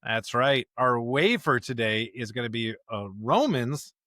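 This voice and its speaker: Male pastor podcaster, mid-pitched, conversational and emphatic, very little room sound. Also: lively intonation, a little slow, bright, forceful tone, dry recording, studio quality. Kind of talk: conversational podcast discussion with scripture reading.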